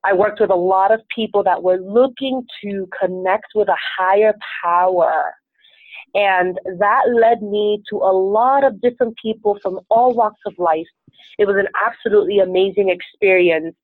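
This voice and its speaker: A woman's voice: mid-range voice, normal speed, no room sound. A woman from Venezuela whose first language is Spanish, a life coach answering interview questions in an earnest, upbeat, self-assured way. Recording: tinny phone-like line, dry.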